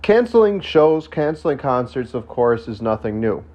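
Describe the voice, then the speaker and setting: A man's voice: medium pitch, very animated, moderate pace, dull audio. A man hosting his own channel, talking casually while stuffed up from a sinus infection, with coughs and lots of fillers and restarts. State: coughing, throat not fully recovered. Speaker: channel host. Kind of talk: casual solo video talk.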